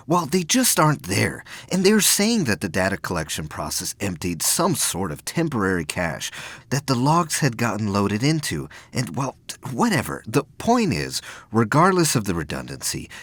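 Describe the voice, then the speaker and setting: A man's voice: fairly low pitch, fairly bright tone, no room sound, voice pushed up, heavily acted. Man playing an earnest, troubled young space cadet. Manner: halting, urgent, earnest.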